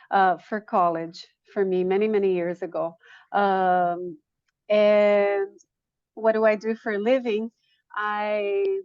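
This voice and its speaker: A woman from Brazil speaks as a guest in a casual interview, with a mid-pitched voice. She is matter-of-fact and restates her points for emphasis. The sound is very dry and a little muffled. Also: darkish tone, dry recording. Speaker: guest, yoga studio owner.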